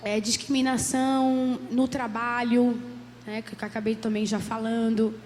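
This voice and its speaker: Hoarse woman lecturing in a church hall. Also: fairly high pitch, little pitch movement, a little slow, darkish tone. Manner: earnest, many "né?" tags. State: hoarse from a cold. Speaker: psychologist giving a church talk. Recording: roomy hall with faint background noise.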